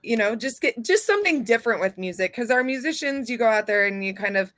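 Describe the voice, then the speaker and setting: A woman's voice: fairly high pitch, expressive, fast, a bit bright, no echo, studio quality. A woman hosting a radio interview, chatting in a casual, upbeat way with plenty of fillers. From not from Texas. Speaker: radio show host.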